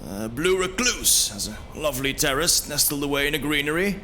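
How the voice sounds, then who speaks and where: A man playing a seasoned traveling brother in a fantasy audio drama, a guide and leader who speaks with measured, kindly authority. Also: a little high, expressive, pushed and bright, slight background noise, voice pushed up. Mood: genial, relaxed. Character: seasoned traveling brother, male, genial guide turned grave commander.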